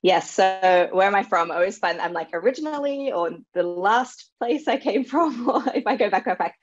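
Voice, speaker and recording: A woman's voice: mid-range voice, animated, normal speed, no room sound. Lo-fi, dry woman guest on a call. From Australia. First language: Serbo-Croatian. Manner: chatty, laughs easily, lots of fillers. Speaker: guest author. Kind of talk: casual interview chat.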